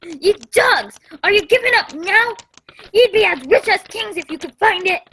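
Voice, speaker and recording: Menacing blind male pirate, very high voice, slow rough commands, shouting, radio play, heavy noise acted out by a performer. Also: expressive. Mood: angry. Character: Old Pew, menacing blind male pirate barking orders.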